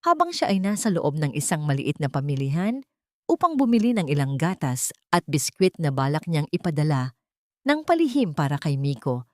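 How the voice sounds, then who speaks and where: A woman's voice: low voice, darkish tone, dry recording, clean studio sound. A woman narrating a scripted dramatic story in a solemn, emotive manner.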